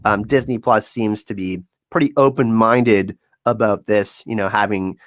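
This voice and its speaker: A man's voice: on the low side, normal speed, no room sound. Upbeat man on a dry-sounding podcast. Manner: upbeat, hesitant with restarts. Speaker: podcast co-host. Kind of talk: casual chat.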